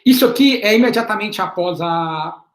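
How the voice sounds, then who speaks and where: A man giving a slide presentation as an invited panelist in an online talk. He speaks in a halting, explanatory way, repeats words and often checks in with a questioning "right?". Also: high voice, animated. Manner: explanatory, measured. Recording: roomy echo on an online call.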